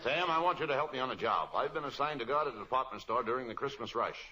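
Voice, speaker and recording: A man playing a gruff, by-the-book police lieutenant in an old-time radio comedy. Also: medium pitch, expressive, quick pace, lightly acted. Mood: businesslike. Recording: dull, noisy old radio recording.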